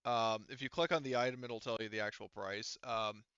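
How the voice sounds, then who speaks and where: A man's voice: lowish voice, fairly even intonation, brisk, a bit bright, clean tone, no echo, dull audio. A man acting as game master in casual online play, explaining things hesitantly and thinking aloud as he goes.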